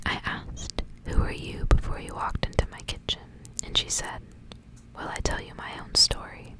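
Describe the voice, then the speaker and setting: A woman whispering softly and breathily as an ASMR host, reading stories and chatting casually. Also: rough recording. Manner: whispering throughout, soft and breathy. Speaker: ASMR reader and channel host.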